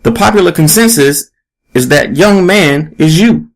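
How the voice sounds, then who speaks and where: Man voicing a pushy, higher-pitched woman reporter. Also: animated, a little slow. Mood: insistent. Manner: emphatic, probing, insistent. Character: pushy, relentless female investigative reporter.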